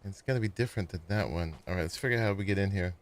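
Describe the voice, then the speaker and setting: A man casually chatting to viewers as he plays and builds in a survival game, with a sleepy voice and a relaxed, rambling manner. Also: sits low, very fast. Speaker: gaming streamer. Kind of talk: casual gameplay commentary.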